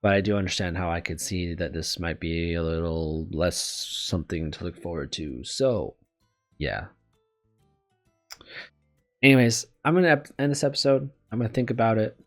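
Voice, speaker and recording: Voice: sits low, steady tone, normal speed, soft background music. A video game streamer reading a visual novel aloud and commenting casually, in a candid, self-deprecating, apologetic way with some swearing.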